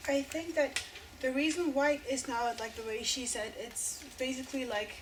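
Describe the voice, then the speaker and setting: A woman's voice: sits high, steady tone, normal speed. A woman in the audience making a casual, filler-heavy comment. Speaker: audience member. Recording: distant mic, noisy room.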